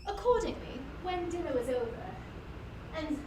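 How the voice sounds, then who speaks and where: Woman, dramatic story reader, very lively pitch, expressive, roomy distant sound. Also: normal speed. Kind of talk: dramatic storytelling, reading aloud. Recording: roomy, distant, noisy online livestream.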